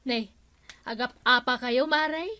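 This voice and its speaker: High-voiced gossipy village friend. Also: female voice, measured pace, pushed and bright, clean tone, no echo. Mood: concerned. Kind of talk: comic radio drama acting.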